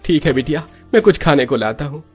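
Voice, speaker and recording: A man voicing a poor young father in a melodramatic story, gentle and emotional, with a pleading manner. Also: a little high, expressive, fast talker, faint music behind, pitched above the performer's own voice, lightly acted. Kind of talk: melodramatic Hindi moral-story voice acting.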